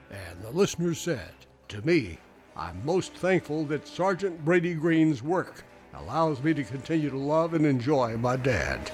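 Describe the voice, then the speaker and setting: A man presenting a local radio news segment in a measured, scripted way. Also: a little high.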